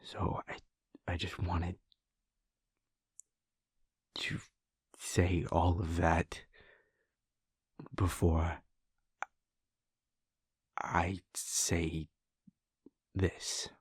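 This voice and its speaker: A man voicing a caring male werewolf boyfriend in an audio roleplay, talking softly and earnestly, often half-whispered. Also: low-pitched, level tone, drawn-out words, pauses often, breathy edge, dry recording, full character voice. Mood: tender, worried.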